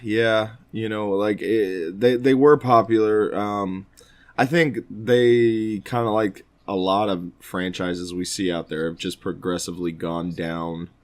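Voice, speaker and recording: A man's voice: fairly low pitch, fairly even intonation, unhurried. A man co-hosting a casual podcast, talking in a rambling, informal way. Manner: rambling, informal, mild swearing. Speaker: podcast co-host.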